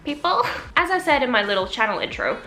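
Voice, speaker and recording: A woman's voice: fast talker, faint music behind, rough recording. A young woman from Switzerland with a mixed American and British English accent, chatting casually to camera in a vlog. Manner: chatty and animated, with frequent pitch jumps. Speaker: YouTuber.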